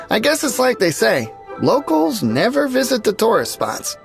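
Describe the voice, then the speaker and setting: A friendly local townswoman in a video game. She is polite and chatty, with animated intonation and some creak in the voice. Music plays clearly behind. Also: a little high, a little slow, exaggerated voice. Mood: welcoming, wistful. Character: friendly, courteous local festival-goer. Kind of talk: video game character acting.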